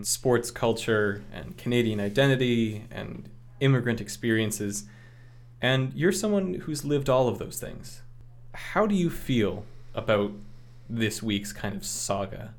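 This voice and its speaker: A man hosting a news podcast, asking questions conversationally and deliberately, phrase by phrase. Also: on the low side. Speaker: podcast host, interviewer.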